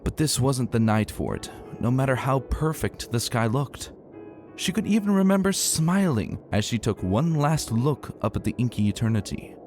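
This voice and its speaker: A man gives a dramatic reading as narrator. His mid-pitched voice moves animatedly, with colloquial emphasis, and light music plays underneath. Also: normal speed. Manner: expressive, emphatic, colloquial delivery. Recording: soft music behind narration.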